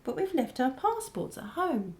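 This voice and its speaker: An actress playing a sceptical, outspoken wife with a pointed, questioning manner in a radio drama. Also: female voice, high voice, very animated, normal speed, a little mellow. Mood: pointed, anxious.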